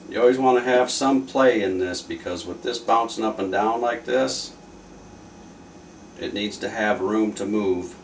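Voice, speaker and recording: A man's voice: fairly low pitch, a little slow. A man giving casual, offhand commentary as he thinks aloud during a hands-on repair. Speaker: repair video presenter. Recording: roomy, distant mic with faint noise.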